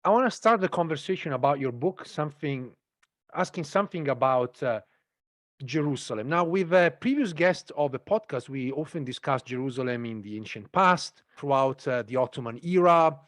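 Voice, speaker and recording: A man's voice: mid-range voice, animated, normal speed, dry recording, clean studio sound. A man hosting an interview podcast, introducing his guest formally with some hesitant fillers. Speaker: podcast host.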